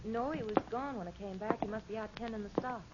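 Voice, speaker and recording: An actress playing an anxious, loyal rancher's wife in a 1950s radio western, earnest and insistent, with an American accent. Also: female voice, fairly high pitch, fast talker, natural voice. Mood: uneasy. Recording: muffled, noisy 1950s radio recording.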